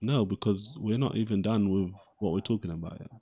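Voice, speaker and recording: Insistent low-voiced man debating. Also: fairly quick, mellow, slightly rough. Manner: insistent, repeats and restarts phrases. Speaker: host of a live debate. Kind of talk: unscripted argumentative debate.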